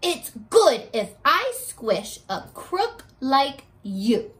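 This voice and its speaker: A woman voicing a dismissive young boy in a storybook, with haughty, drawn-out emphasis. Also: sits high, sing-song, a bit bright, heavily acted. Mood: scornful. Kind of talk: storybook read-aloud.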